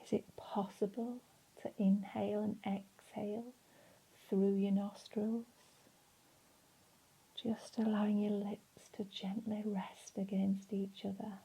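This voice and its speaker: A woman leading a guided relaxation in a soft, breathy, soothing voice, leaving long pauses between phrases. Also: mid-range voice, little pitch movement, low-quality recording. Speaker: meditation and relaxation instructor.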